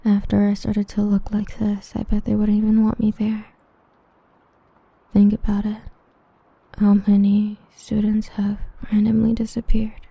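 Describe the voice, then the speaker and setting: A young woman voicing an obsessive, protective half-demon villain in a hushed, intimate ASMR roleplay whisper. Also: medium pitch, monotone, creaky, slightly rough, no echo, phone-quality sound. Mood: hushed menacing. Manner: hushed, intimate, slow and brooding.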